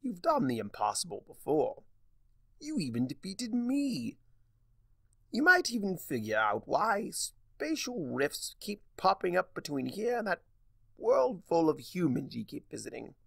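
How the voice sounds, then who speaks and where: A sly, mischievous spirit of chaos from a fantasy storybook, acted out by a man. The delivery is theatrical and teasing, leaping around in pitch with a gravelly creak, recorded close and dry. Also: wildly swooping pitch, unhurried, no room sound, heavily acted. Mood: wry, teasing.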